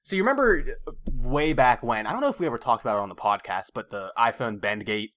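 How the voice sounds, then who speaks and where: A young man co-hosting a casual podcast, getting animated and ranting at his friends. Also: medium pitch, fast talker. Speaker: podcast co-host. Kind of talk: casual chat.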